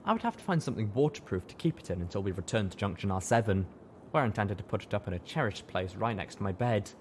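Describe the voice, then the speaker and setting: A man narrates a story from a script in a fairly low voice. His pitch moves around in a lively way, and there is faint noise in the background. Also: fast. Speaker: audiobook reader.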